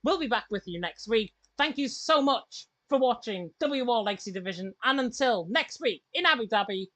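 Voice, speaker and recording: Female sim racing commentator, slightly high voice, punchy and dry. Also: lively intonation, a bit bright, dry recording. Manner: brisk, emphatic. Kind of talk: broadcast sign-off commentary.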